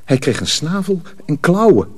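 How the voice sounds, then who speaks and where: A dry, close recording of a male narrator. His voice is husky and highly animated in pitch. He reads a scripted dramatic story. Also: moderate pace, no echo.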